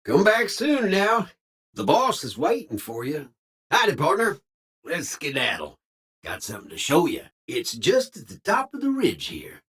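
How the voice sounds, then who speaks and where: A hearty cowboy robot for a video game, with a husky edge to his Western American drawl. His pitch leaps and dips dramatically as he plays it folksy, eager and a little sly. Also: wildly swooping pitch, a little slow, fairly bright tone, exaggerated voice. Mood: chipper, folksy.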